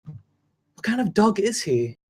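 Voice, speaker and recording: A woman's voice: mid-range voice, sing-song, slow, darkish tone, slightly rough, heavily acted. A woman playing a gushing, dog-loving receptionist in a table read of a film script.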